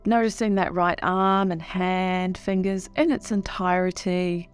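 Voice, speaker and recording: A woman leading a guided meditation in a soothing, unhurried way with long pauses. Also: on the low side, fairly even intonation, clear, resonant tone, soft background music.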